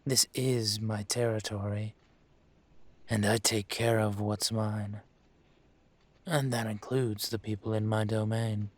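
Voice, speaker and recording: A man playing an aging dragon mafia boss in a roleplay audio drama, speaking in a smooth, deliberate, courtly manner with veiled menace. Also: fairly low pitch, little pitch movement. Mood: composed, authoritative. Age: older.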